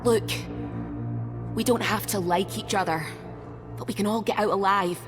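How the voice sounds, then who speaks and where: A woman's voice: medium pitch, fairly even intonation, moderate pace, natural voice. A woman playing a defiant, sardonic smuggler held prisoner in a video game, talking bluntly and scornfully. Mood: urgent. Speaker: game character. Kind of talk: video game dialogue acting. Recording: orchestral game music behind the voice. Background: loud music.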